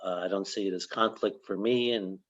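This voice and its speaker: An older man hosting a conversational interview in a measured, halting way with pauses. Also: low voice, steady tone, dull audio. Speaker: host, yoga organization director.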